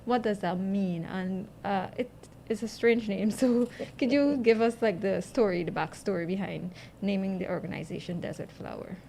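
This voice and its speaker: A woman's voice: medium pitch, normal speed, gravelly, hardly any pauses, mellow. A woman hosting and interviewing guests on a radio health show. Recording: faint background noise.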